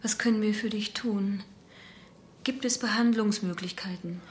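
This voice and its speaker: Earnest, caring daughter, medium pitch acted by a woman. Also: fairly even intonation, normal speed, a little hiss or hum, close to an everyday voice. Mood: concerned. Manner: earnest, concerned. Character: caring, shaken daughter of an ailing pianist. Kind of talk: radio drama acting.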